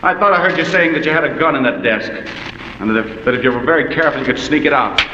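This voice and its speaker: A man's voice: medium pitch, fast, bright, forceful tone, slight background noise, lightly acted. An actor playing a tough, wisecracking male private detective in 1940s radio noir, with sardonic, clipped delivery. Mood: menacing, sardonic. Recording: muffled vintage radio recording with music.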